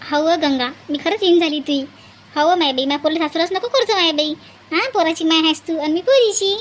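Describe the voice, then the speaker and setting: A woman's voice: very high voice, brisk, a little hiss or hum. A woman voicing a kindly, bustling village mother in an amateur cartoon comedy, earnest and chatty, with a Varhadi Marathi accent. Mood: happy, teasing.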